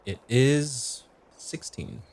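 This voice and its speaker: A man's voice: mid-range voice, animated, drawn-out words, a little mellow. A man playing a tabletop roleplaying game, narrating his character's actions from inside the role. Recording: music clearly playing behind the voice. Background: background music.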